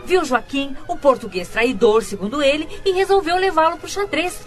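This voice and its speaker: A woman's voice: sits high, normal speed, soft background music, natural voice. A woman with a Brazilian accent playing a worried, scolding older mother-in-law in a radio drama, agitated and gossipy. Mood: alarmed. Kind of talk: Brazilian radio soap acting.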